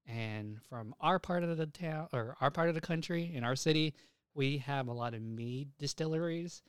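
A man's voice: a little high, moderate pace, smooth, dry recording. Young man, podcast co-host, casual anime chat, rambling, profane, dry close sound.

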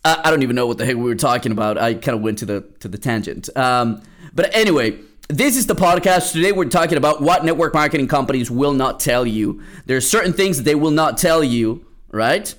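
A man's voice: medium pitch, animated, a little fast, fairly bright tone. A young man from Peru hosting a podcast, telling a casual story with lots of restarts and fillers. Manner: rambling, many restarts and fillers. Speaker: podcast host.

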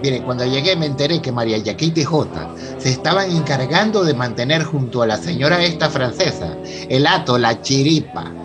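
A Venezuelan actor playing a polite, earnest professor in a radio soap opera, explaining things with hesitant stammers. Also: male voice, mid-range voice, lively intonation, a little fast, few pauses, over music, natural voice. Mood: eager, nervous. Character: polite, earnest university professor and new son-in-law.

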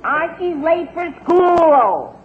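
Fussing middle-aged mom, high animated voice, emphatic, vintage radio comedy, tinny sound. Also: female voice, extremely slow, exaggerated voice. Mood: exasperated. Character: middle-aged mother, practical, fussing, exasperated with her son. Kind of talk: 1940s radio comedy acting. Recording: tinny, hissy 1940s radio recording.

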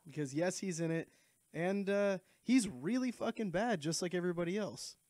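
Higher-voiced man, dry close sound. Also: moderate pace, darkish tone, no room sound. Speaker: podcast co-host. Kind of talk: casual movie commentary chat.

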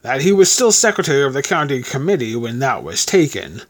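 A proud old colonel, acted out by a male storyteller, gruff and formal. He is pompous and quick to take offence, with wide swings in pitch. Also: sing-song. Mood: dry. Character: gruff, proud old military veteran, stiff and easily affronted.

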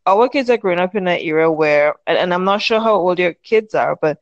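A woman's voice: fairly low pitch, animated, no echo, muffled recording. Thoughtful woman in her thirties from the Caribbean. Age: 30s. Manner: thoughtful, measured, many restarts while finding words. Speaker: podcast guest. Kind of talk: casual interview chat.

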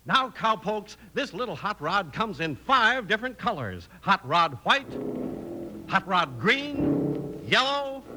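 A man's voice: sing-song, slow pace. A man announcing and narrating in an emphatic, enthusiastic mid-century radio style. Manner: emphatic, enthusiastic pitchman delivery. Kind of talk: 1950s radio announcing, scripted. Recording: faintly hissy old radio recording.

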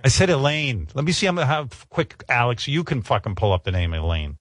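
A man's voice: mid-range voice, very animated, brisk, fairly bright tone, no room sound, clean studio sound. A male radio host in casual, profane banter, indignant and often laughing.

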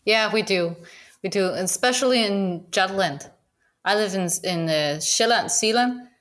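A woman's voice: mid-range voice, a little slow, fairly bright tone. A Danish woman, a native Danish speaker, chatting casually as a guest about goose hunting, with many restarts and fillers and frequent laughs. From Denmark. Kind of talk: casual interview chat.

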